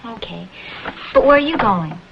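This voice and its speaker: A woman's voice: fairly high pitch, animated, moderate pace, creaky. An actress playing an eager, anxious young woman in a vintage detective film drama. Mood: anxious, questioning. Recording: muffled, very noisy old film soundtrack.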